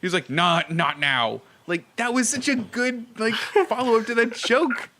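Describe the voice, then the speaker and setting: A man co-hosting a podcast, chatting casually about films in an animated, slangy, exclamatory way. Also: very high-pitched, unhurried, a little hiss or hum. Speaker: podcast co-host.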